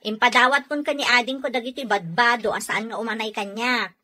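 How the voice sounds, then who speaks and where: A woman's voice: on the high side, steady tone, a little fast, pushed and bright. A woman playing a complaining, hard-to-please housewife and elder sister in a radio comedy drama. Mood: demanding.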